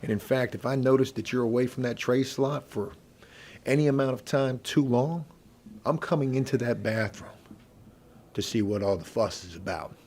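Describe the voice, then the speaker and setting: A man playing a middle-aged veteran inmate who bosses the prison kitchen, in an ASMR role play with a gravelly, husky voice and a folksy, intimidating manner. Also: mid-range voice, darkish tone, a little hiss or hum, lightly acted. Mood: sardonic, warning. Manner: folksy, intimidating, drawn-out menacing delivery. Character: gruff, bossy veteran male prison inmate running the kitchen.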